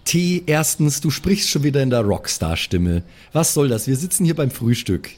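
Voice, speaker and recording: A man puts on a skeptical rockstar woman in an improvised audio play. She is exasperated and scolding, her snappy voice pitched well up. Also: expressive, normal speed, darkish tone, natural voice.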